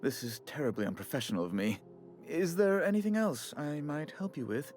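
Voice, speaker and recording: An actor playing an effusive, melodramatic colonial official in a video game, theatrical, florid and fussily apologetic. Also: male voice, fairly high pitch, big pitch swings, fairly quick, a little mellow, soft background music. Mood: despairing, apologetic. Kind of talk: video game character acting.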